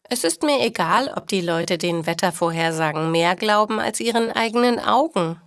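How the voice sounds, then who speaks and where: A woman's voice: mid-range voice, expressive, moderate pace, smooth, no echo, close to an everyday voice. A cheerful, practical bakery owner from a storybook, played by a woman narrator, speaks emphatically and with animation. Her voice sits mid-range in a dry recording. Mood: satisfied.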